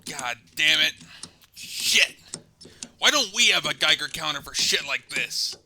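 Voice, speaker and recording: A man acts an anxious, griping male worker in an audio drama. He talks casually and coarsely, in a slightly high, very bright voice. Faint noise sits behind him. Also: lively intonation, a little slow, full character voice. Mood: uneasy, irritated. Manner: casual, complaining. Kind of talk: naturalistic audio drama dialogue.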